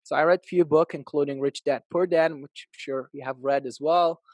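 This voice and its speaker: A man in his thirties, originally from Iraq, talks through his life story as a podcast guest. He sounds upbeat and lively, and his voice sits a little higher than most men's. The sound is dry and close, with no room echo. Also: normal speed. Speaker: podcast guest, real estate agent. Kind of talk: casual interview storytelling.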